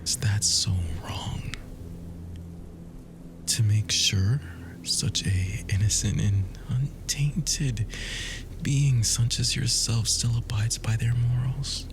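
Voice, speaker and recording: A man playing an obsessive, wounded and cruel immortal lover in an ASMR roleplay, breathy and half-whispered, with menacing endearments. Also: medium pitch, takes it slowly. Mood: wounded, taunting. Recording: music bed behind the voice. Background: music.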